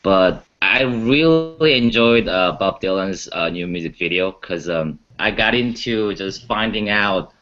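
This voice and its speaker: A man's voice: sits low, animated, measured pace, few pauses, highs cut off. A man sharing his views in a casual discussion as a music show panelist.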